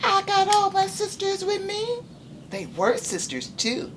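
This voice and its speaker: Bright-spirited, playful flowers acted by a woman storyteller chatter and sing in a high, swooping voice. They gush with showy, youthful excitement in a storybook style. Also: a little slow, noisy background, heavily acted. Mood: excited, admiring. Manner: gushing, playful, sing-song, breaking into song.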